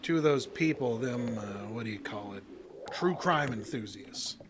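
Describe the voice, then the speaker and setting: A man's voice: medium pitch, animated, moderate pace, noisy background, close to an everyday voice. An actor playing a guarded, plain-spoken fishing guide haunted by his past, talking in a folksy rural way in an audio drama. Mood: blunt, wary. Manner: folksy, ungrammatical rural small-town talk.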